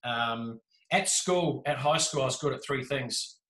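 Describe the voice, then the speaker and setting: Give me an animated, jokey New Zealand man in his thirties, bright-toned and echoey. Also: mid-range voice, unhurried. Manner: animated, gestures a lot, jokey. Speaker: podcast guest. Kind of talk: casual storytelling. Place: small room indoors. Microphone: video call with desk mic. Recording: echoey room.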